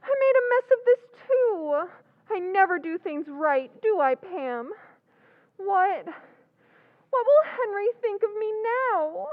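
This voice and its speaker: Through a tinny, phone-like sound comes a woman voicing a distraught young sister in a radio play. She speaks slowly, very high and through tears, full of self-reproach. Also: big pitch swings, heavily acted. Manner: tearful, pleading, self-reproaching. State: crying. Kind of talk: old-time radio drama recreation.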